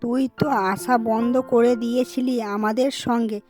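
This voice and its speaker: A homely village mother , played by a woman in a cartoon, with a fairly high voice. She is caring yet quick to chide, and speaks expressively over light music. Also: flat delivery, a little mellow, natural voice. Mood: fond, emotional. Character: village mother, caring but scolding, fond sister. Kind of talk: cartoon dialogue acting.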